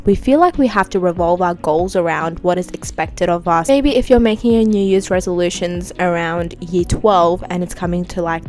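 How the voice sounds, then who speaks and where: A woman in her twenties, slightly congested, giving earnest, encouraging advice straight to her viewers in a casual vlog. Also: on the low side. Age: 20s. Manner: earnest, encouraging, addresses viewers as "you guys". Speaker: vlogger. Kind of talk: direct-to-camera advice vlog.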